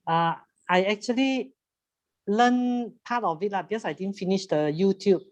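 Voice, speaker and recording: A woman's voice: medium pitch, moderate pace. Cantonese-speaking woman on a video call. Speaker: student.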